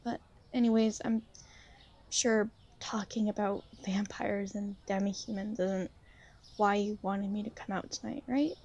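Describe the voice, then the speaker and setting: Shy, insecure young female vampire, played by a woman, for ASMR roleplay; mellow, creaky voice, halting and apologetic. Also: medium pitch, fairly even intonation, muffled recording. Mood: uneasy. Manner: hesitant, halting, soft-spoken, apologetic. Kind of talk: ASMR roleplay, intimate one-sided conversation.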